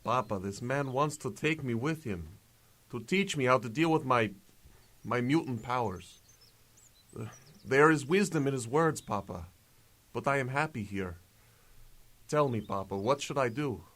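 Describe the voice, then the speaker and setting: A man's voice: medium pitch, unhurried, long pauses, a bit bright, close to an everyday voice. A man playing an earnest, dutiful young farm man with mutant powers in an audio drama. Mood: torn.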